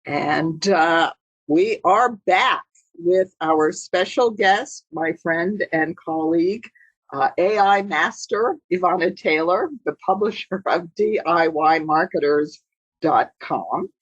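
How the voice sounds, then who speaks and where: Woman podcast host, a bit husky, chatty and self-deprecating, dry close sound. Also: on the low side, slow, no echo, low-quality recording. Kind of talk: hosting an interview podcast.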